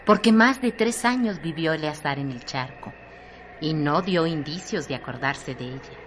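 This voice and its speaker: A woman's voice: low-pitched, lively intonation, moderate pace. A woman playing a grave storyteller, narrating a scripted radio drama about village life. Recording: clear background noise.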